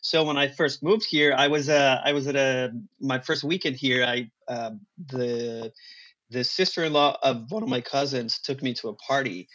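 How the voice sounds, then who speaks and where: A man whose first language is Spanish, a guest talking casually about acting and languages in an animated, emphatic way. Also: mid-range voice, normal speed, lo-fi sound. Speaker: guest, actor. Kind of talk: casual interview talk.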